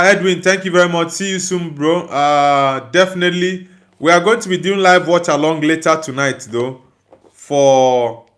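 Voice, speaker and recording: Man, Nigerian accent, moderately high pitch, livestream host, casual chat style, reading and replying to comments. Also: a bit bright.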